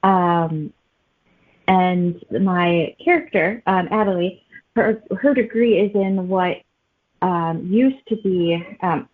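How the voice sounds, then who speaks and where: A woman author answering interview questions conversationally, pausing often in the middle of phrases. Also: fairly low pitch. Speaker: author guest. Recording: muffled phone-like narrow-band audio.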